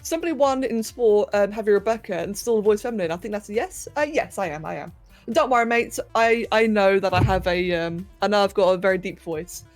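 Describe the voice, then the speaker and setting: A woman's voice: mid-range voice, a little fast, faint music behind. A young woman hosting a casual livestream, reading chat, greeting viewers and laughing as she talks to her pets. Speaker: livestream host. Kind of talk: casual chat with live chat while sculpting.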